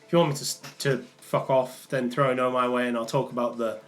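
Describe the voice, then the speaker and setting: A man's voice: medium pitch, steady tone, fairly quick. A young man from the Manchester area with a Mancunian accent that comes and goes, chatting loosely on a live stream with many ums and restarts. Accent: Mancunian, partly worn off. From England, Manchester area. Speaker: livestream host answering chat. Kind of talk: casual live stream chat. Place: small room indoors.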